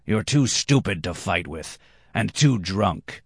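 A man's voice: low-pitched, lively intonation, takes it slowly, a bit bright, husky, no echo, clean studio sound, full character voice. Man playing a boastful drunken nobleman, declaiming. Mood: angry, insistent. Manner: emphatic, declamatory. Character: drunken red-haired nobleman, boastful, impish, quick to anger.

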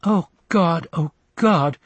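A man's voice: mid-range voice, normal speed, dry recording, voice pushed up. A man voicing a melodramatic wife who pleads and wails in pain. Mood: wailing in pain. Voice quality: wailing, breathy moans. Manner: pleading, crying out.

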